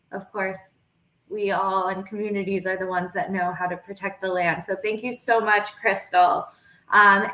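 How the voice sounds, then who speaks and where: Woman moderator, bright voice, fairly high, many fillers, echoey room. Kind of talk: hosting a virtual panel.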